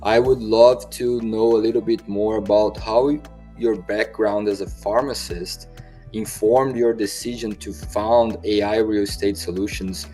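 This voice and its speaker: A male podcast host reading a formal introduction and asking questions in slightly halting phrasing. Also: on the low side, fairly even intonation, warm tone, faint music behind.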